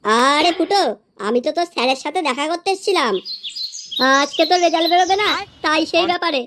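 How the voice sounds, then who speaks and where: A woman's voice: very high-pitched, fast talker, noisy background. A woman voicing a stern, blunt, quarrelsome father in a comedy cartoon. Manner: blunt, scolding.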